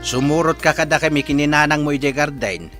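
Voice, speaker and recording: A man's voice: a little high, fairly quick, music in the background, close to an everyday voice. A man playing a grumbling old village farmer who scolds as a father, in an Ilocano comedy radio drama. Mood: scolding.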